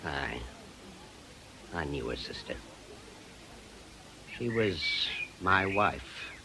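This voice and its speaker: A man's voice: low voice, sing-song, extremely slow, sharp tone, slight background noise, exaggerated voice. An actor with a British accent playing a brusque, sardonic, middle-aged retired policeman in a radio drama. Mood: grim. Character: gruff, bitter retired policeman, brusque and proud.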